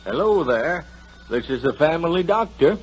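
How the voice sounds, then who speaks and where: An actor playing a genial, wry family doctor in an old radio drama, with a husky voice and a homespun drawling manner. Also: male voice, fairly high pitch, expressive, moderate pace, loud music behind the voice, natural voice. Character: genial folksy small-town family doctor, wry and patient.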